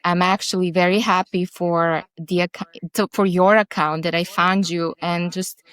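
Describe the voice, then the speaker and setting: A woman, a podcast guest who talks haltingly, often restarting as she searches for words. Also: on the low side, flat delivery, fairly bright tone, smooth, dry recording. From Serbia. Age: 40s. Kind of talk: interview conversation.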